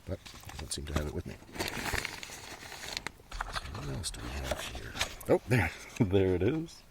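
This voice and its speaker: A man's voice: deep voice, huge pitch swings, normal speed, creaky. A man giving a casual, rambling ASMR show-and-tell outdoors, half murmuring and drifting into whispers. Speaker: host of a collection show-and-tell. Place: outdoors in a gentle breeze. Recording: outdoor noise, light breeze. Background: breeze, paper rustling and page flipping.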